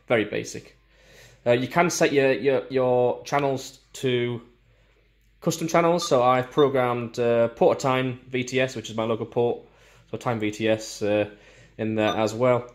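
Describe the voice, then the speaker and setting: A man giving a casual, hands-on product walkthrough, explaining informally and a bit haltingly as he demonstrates. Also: mid-range voice. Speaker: gear reviewer.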